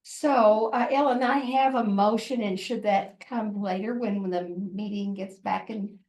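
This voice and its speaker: A woman's voice: medium pitch, steady tone, a little slow, darkish tone, low-quality recording. A woman giving an informal presentation at a community meeting, earnest and rambling, often correcting herself.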